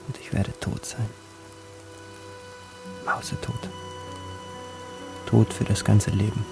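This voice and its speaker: Gravelly, brooding young narrator. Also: male voice, on the low side, level tone, slow, music in the background. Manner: introspective. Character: brooding, yearning poor young man telling his story. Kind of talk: literary first-person narration in radio drama.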